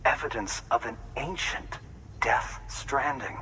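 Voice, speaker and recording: An actor playing an anxious, grateful fossil researcher devoted to his work in a video game cutscene, with an expressive, confiding delivery. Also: mid-range voice, slow pace, recorded from a distance, a little hiss or hum, low-quality recording. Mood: excited.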